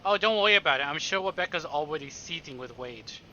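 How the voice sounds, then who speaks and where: Guy streaming a visual novel, sharp bright highish voice, reads then snarks, bit lo-fi with music. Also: lively intonation, quick pace, a little hiss or hum. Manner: playful, sarcastic. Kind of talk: live narration mixed with joking commentary. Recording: dull lo-fi stream audio over music. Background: game music.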